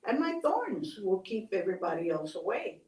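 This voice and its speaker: A woman's voice: medium pitch, big pitch swings, normal speed, a little mellow, roomy sound, recorded from a distance. A woman voicing a lonely male cactus, an earnest, eager and protective friend in a children's story.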